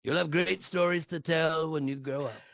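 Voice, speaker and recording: A blustering, self-important older politician, acted by a man in a satirical table read. The voice sits a little high for a man and has a gravelly fry. He is blunt and dismissive, piling on superlatives, on a dry but dull recording. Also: steady tone, normal speed, dry recording. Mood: self-assured. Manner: boastful, blunt, repeats superlatives. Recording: dull lo-fi audio.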